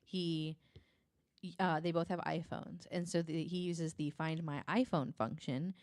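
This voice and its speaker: A woman's voice: low-pitched, steady tone, unhurried, a little mellow, dry recording, clean studio sound. A woman telling a story casually and informally as a guest on a podcast.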